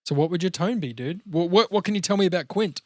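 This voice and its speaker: A man's voice: high voice, quick pace, darkish tone, no echo, clean studio sound. A man chatting casually as he explains acting ideas and hosts a script discussion.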